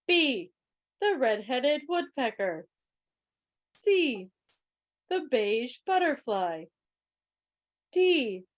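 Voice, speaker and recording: A woman hosting a club quiz on a video call, with a playful, teacherly manner. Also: fairly high pitch. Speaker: quiz host. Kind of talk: club quiz hosting, reading questions aloud.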